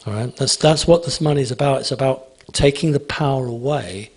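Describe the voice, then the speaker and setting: A man's voice: mid-range voice, brisk, a little mellow, breathy edge. A man speaking at a conference, emphatic and repetitive, answering audience questions. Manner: emphatic, repeats phrases, asks "okay?".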